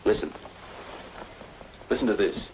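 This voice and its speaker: An actor playing a grave, persuasive doctor in an old-time radio noir drama, speaking in a measured, formal, explanatory way. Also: male voice, darkish tone, lightly acted. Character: grave, authoritative male doctor, earnest and persuasive. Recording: noisy vintage radio recording.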